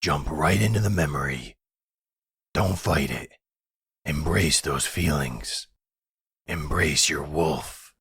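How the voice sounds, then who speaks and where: A man acts out a fatherly werewolf pack leader for a roleplay audio. The voice sits extremely low and is heavily husky. He guides in slow, measured, formal phrases, calm and soothing, with a very dry, close sound. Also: no echo, exaggerated voice. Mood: soothing, guiding.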